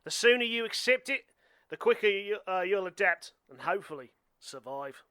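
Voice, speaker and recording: A man's voice: high voice, lively intonation, normal speed, sharp tone, clear, resonant tone, dry recording. A man acting in an amateur radio play as an earnest, blunt young survivalist with a clinical, matter-of-fact manner. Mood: blunt, grim.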